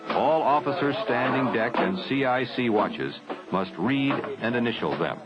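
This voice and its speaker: A man reading scripted training film narration in a formal, measured, matter-of-fact way. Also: medium pitch, animated, over loud music. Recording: dull 1970s film soundtrack.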